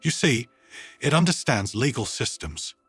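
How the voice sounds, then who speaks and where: A man's voice: mid-range voice, sing-song, unhurried, fairly bright tone, husky, soft background music, exaggerated voice. A man voicing an earnest, insistent bird-like alien in a narrated sci-fi story, solemnly convinced. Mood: awed.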